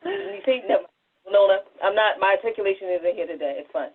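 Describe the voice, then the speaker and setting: A woman co-host with a husky, mid-pitched voice banters casually. She is self-deprecating and joking, and the sound is thin like a phone call. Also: wildly swooping pitch, fast. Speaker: podcast co-host. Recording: narrow phone-like online call audio.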